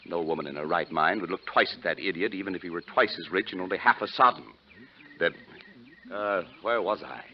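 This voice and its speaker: Wealthy stepfather male actor: florid, fussy, self-important, low register, 1940s radio, noisy vintage recording. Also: fairly quick, muffled recording, natural voice. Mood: fussy, indignant. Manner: pompous, florid, flustered hesitations.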